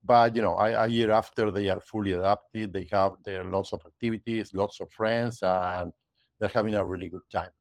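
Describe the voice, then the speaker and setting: A man's voice: lowish voice, fairly even intonation, normal speed, dry recording. A man in his forties from Argentina, talking conversationally as a podcast guest, often tagging "you know" and "right?". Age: 40s. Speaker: guest, realtor. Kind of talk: podcast interview.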